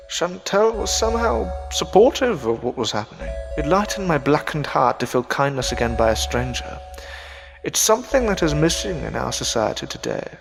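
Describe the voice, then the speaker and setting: A man acting a grieving, ashamed Victorian widower in an audio drama, speaking in a melancholy, halting way with sighs. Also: a little high, gravelly. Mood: reflective, sombre. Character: grieving, ashamed Victorian widower in his twenties or thirties, self-reproaching. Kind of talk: audio drama acting with first-person narration.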